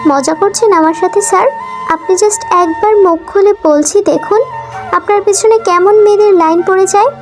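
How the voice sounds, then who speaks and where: A woman's voice: very high-pitched, a little fast, over music, poor audio. A woman playing a coy, teasing young office assistant in love with her boss in an audio story. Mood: coy flattery. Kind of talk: Bengali audio story acting.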